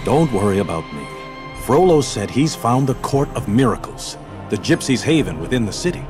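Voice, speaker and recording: A man acts an honourable captain of the guard in a video game. His medium-pitched voice is assured and very expressive. Music is clear behind him. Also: moderate pace, lightly acted. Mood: urgent. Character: confident, honourable male soldier, a guard captain.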